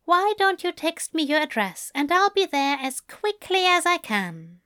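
This is a tender, fussy man in a storybook reading, put on by a woman narrator. His voice sits very high and rises and falls a great deal. He speaks slowly and softly, recorded dry and close. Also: big pitch swings, no room sound, heavily acted. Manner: soft, tender, reassuring. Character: gentle, fussy, kindly man, soothing and caring. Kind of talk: audiobook narration of fan fiction.

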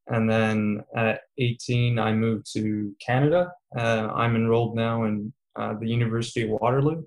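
A man's voice: fairly low pitch, steady tone, moderate pace, darkish tone, low-quality recording. A man in his twenties, a student guest in a casual interview, talking with many fillers and easy laughs. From Serbia, raised in Qatar. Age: 20s.

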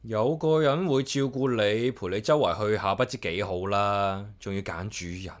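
A man's voice: fairly low pitch, moderate pace, close to an everyday voice. An actor playing an easygoing man who lives alone, speaking in a casual, wry manner in a radio drama. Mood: curious, teasing. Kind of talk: Cantonese radio drama acting and narration.

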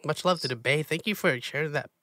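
A man's voice: on the high side, quick pace, bright, forceful tone, dry recording, clean studio sound. A man hosting an interview, chatting casually and upbeat, with lots of fillers. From US Pacific Northwest. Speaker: podcast host.

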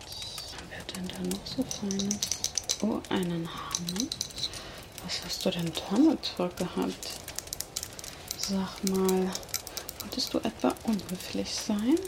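Woman acting a slow, creaky, mocking vampire kidnapper. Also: medium pitch. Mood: mocking, playful menace. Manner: teasing, sardonic, drawn-out, slipping into whispers. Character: menacing, mocking female vampire kidnapper toying with her captive. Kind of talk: ASMR roleplay in German. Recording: loud noise and crackle over voice.

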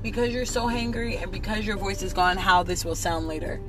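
A hoarse young woman chatting playfully in a parked car. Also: mid-range voice, brisk, over music. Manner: playful, laughing, churchy slang. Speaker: vlog co-host. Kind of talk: casual chat in a car. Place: parked car in a drive-thru line.

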